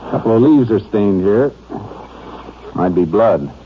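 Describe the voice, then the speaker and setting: An American man acting a laconic, sharp-eyed Texas Ranger who questions tersely and matter-of-factly in an old-time radio drama. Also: fairly low pitch, lively intonation, a little slow. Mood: businesslike. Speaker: actor in a radio crime drama. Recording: muffled, noisy vintage radio recording.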